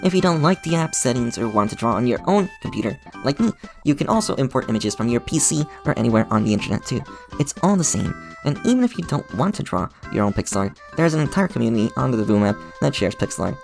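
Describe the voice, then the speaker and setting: A man's voice: mid-range voice, vocal fry. A man hosting his own channel, giving a playful, enthusiastic scripted tutorial with a sponsor segment. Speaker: channel host.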